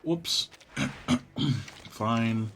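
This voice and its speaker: Slow, laid-back male game streamer. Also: mid-range voice, bright, forceful tone, slight background noise. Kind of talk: casual livestream chat, reading game text aloud. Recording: music behind the voice. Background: game music.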